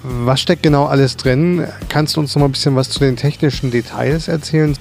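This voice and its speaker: A man's voice: mid-range voice, steady tone, brisk, gravelly. A man working as a reporter, delivering scripted narration and interview questions. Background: music.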